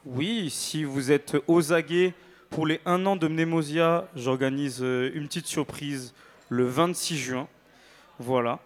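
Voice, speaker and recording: A man with a slightly high voice speaks as a singer being interviewed on stage. His talk is casual, informal and playful. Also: takes it slowly.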